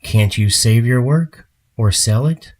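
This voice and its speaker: A man's voice: medium pitch, slow. A man playing a pragmatic, dismissive boss ordering change in an audio drama.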